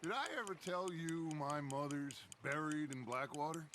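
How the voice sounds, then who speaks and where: An actor playing a charismatic gang leader in a game cutscene, talking in a measured, reflective way. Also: male voice, fairly high pitch, a bit bright, a little hiss or hum, close to an everyday voice. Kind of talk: video game cutscene acting. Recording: outdoor game ambience over voice.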